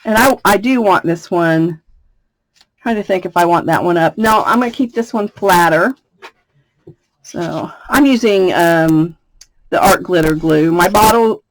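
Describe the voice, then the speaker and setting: A woman from the United States with a slightly raspy voice, chatting through a card-making tutorial and laughing between steps. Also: on the low side, dry recording, rough recording. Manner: chatty, laughs often, thinks aloud. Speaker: craft demonstrator. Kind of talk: casual craft tutorial.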